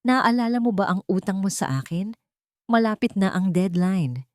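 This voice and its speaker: A woman's voice: medium pitch, lively intonation, moderate pace, dry recording, voice pushed up, natural voice. Woman voicing a cold, menacing male creditor. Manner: cold, threatening.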